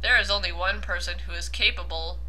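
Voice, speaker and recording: A woman's voice: lowish voice, fairly even intonation, a little fast, pushed and bright, poor audio. A young woman doing a casual mocking reading with commentary, giggly and swearing freely. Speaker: host of a comedic commentary reading.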